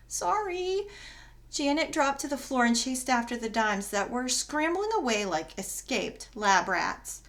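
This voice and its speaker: Woman reading a story out loud for kids, expressive, emphatic, pitch moving all over. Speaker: story reader. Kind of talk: expressive children's story narration, read aloud.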